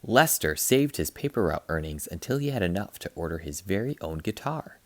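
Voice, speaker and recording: A man reading a children's picture book aloud as a teacher, with animated, dramatic emphasis. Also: low-pitched, normal speed, dry recording. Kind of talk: expressive children's read-aloud narration.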